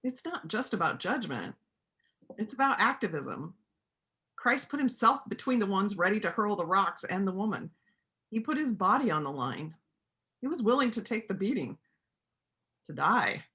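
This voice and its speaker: A woman performing a reader's theater part as a gentle but firm pacifist country vet, earnest and plain-spoken. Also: medium pitch, very animated, a little slow, long pauses. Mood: persuasive. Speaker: amateur church play performer.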